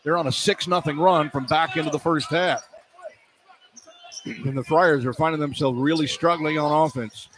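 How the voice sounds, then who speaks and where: A man's voice: a little high, normal speed. A man calling live basketball on the radio as play-by-play announcer, describing the action in clipped running phrases. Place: indoor sports arena. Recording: live arena broadcast with background noise.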